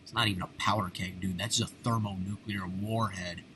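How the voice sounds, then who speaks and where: A low-voiced American man hosts a live reaction, talking off the cuff. He is excitable and exclamatory, full of casual slang. There is clear noise behind his voice. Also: fairly quick. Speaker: reaction video host. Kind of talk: live unscripted reaction commentary.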